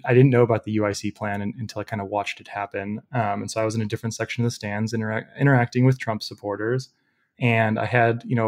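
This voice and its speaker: A young man with a loud voice, a podcast guest telling stories casually with many fillers. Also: lowish voice. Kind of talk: casual storytelling interview.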